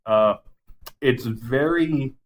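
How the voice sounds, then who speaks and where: A man's voice: medium pitch, extremely slow, a little hiss or hum. A man co-hosting a podcast, casually and enthusiastically rambling through his favorite game movies with some swearing. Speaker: podcast co-host.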